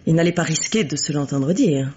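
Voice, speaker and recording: A composed middle-aged widow in a vintage radio mystery, heard through a dull, lo-fi recording. Her voice is mellow and slightly husky. She speaks formally and deliberately, with cool bitterness under the poise. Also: deep voice, expressive. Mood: measured, disdainful. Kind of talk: French radio mystery play acting.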